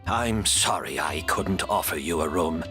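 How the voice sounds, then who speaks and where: An actor playing a gracious, apologetic host who explains things politely and considerately. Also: male voice, low-pitched, a little slow, music in the background. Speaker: hotel owner and host.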